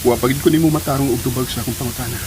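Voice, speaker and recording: A man's voice: mid-range voice, steady tone, very fast, lightly acted. An actor playing an earnest, ambitious grown Filipino son confiding in his mother in a radio drama. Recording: loud static hiss throughout.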